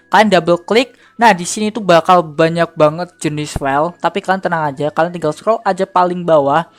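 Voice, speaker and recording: Lower-pitched woman giving a casual tutorial. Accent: Indonesian. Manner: informal, slangy, addresses viewers directly. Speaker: tutorial host. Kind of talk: casual screen-recorded software tutorial.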